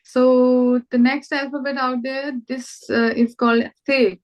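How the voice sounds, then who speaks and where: A woman's voice: sits high, level tone, measured pace. A woman from India with an Indian English accent, teaching a language lesson over a video call and patiently repeating words for her student. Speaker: language tutor.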